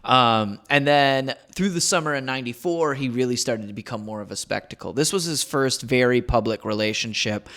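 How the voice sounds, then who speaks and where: A man's voice: medium pitch, moderate pace, hardly any pauses, fairly bright tone. A young man co-hosting a casual podcast, recapping a story in a laid-back way with frequent "uh" and "um" fillers. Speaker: podcast co-host telling the story.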